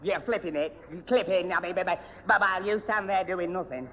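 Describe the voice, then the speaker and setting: A man's voice: high voice, fairly quick, lightly acted. A man playing a dim, flustered, put-upon young man with a northern English accent, stammering and muddling his words in a 1970 radio sitcom. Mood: grumbling, muddled. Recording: muffled, noisy old radio recording, hall reverb.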